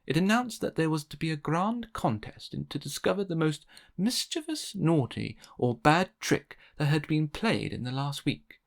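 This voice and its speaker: A man narrating a children's storybook aloud in an expressive read-aloud style. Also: on the high side, normal speed, gravelly. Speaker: storybook reader.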